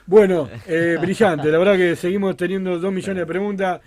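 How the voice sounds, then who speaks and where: A man's voice: high-pitched, steady tone, normal speed, darkish tone. A man with a Rosario Argentine accent hosting a chatty live radio show in an effusive, joking way, often repeating himself. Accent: Rosario Argentine Spanish. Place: radio studio.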